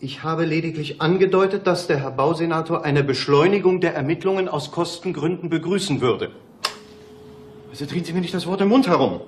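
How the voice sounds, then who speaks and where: A man's voice: fairly high pitch, fairly quick, close to an everyday voice. An actor playing a pompous, touchy police director in a radio play, officious and indignant in his delivery. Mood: indignant, evasive. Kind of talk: German radio play acting.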